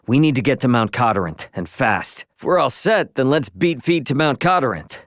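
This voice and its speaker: A man's voice: medium pitch, expressive, moderate pace, no room sound, clean studio sound, lightly acted. A man playing a brash, casual young video game adventurer, slangy and wry, impatient but good-hearted. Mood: casual, determined. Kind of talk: video game character voice lines.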